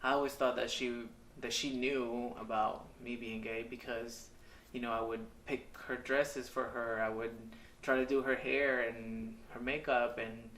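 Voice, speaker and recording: Man in his thirties, from Mexico, hesitant candid storytelling, bright tense voice, flat even delivery, roomy echo. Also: mid-range voice, slight background noise. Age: 30s. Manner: hesitant, halting, with frequent ums and uhs. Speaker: storyteller sharing his life. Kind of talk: candid personal testimonial. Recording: echoey room, mic at a distance.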